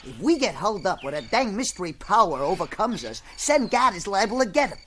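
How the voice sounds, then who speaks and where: A gruff, scrappy ape of an adventurer in a pulp radio drama. His folksy, slangy speech swings widely up and down. Night insects chirr faintly behind him. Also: high voice, very animated, fairly quick, fairly bright tone, a little hiss or hum. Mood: scheming. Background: jungle night insect ambience.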